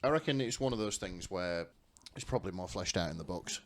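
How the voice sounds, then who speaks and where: A man's voice: low-pitched, expressive, fairly quick. A man co-hosting a podcast, chatting casually and reading aloud for comedy. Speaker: podcast co-host.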